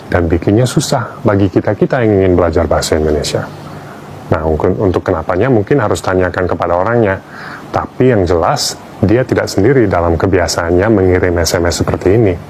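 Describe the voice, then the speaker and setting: A man's voice: low voice, fairly quick, darkish tone, slight background noise. A man from Australia, a native English speaker, giving a wry, playful public talk as a language teacher.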